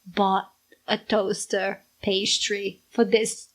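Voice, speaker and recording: A woman's voice: medium pitch, level tone, slow. A middle-aged Brazilian woman with a Brazilian accent, co-hosting a casual podcast with her daughter, playful and teasing. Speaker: podcast co-host, mother. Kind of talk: casual chat.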